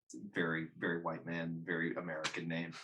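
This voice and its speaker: A man's voice: low-pitched, monotone, fast, background noise. Blunt, sweary man chatting. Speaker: host of a film discussion show. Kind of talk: casual chat, movie commentary.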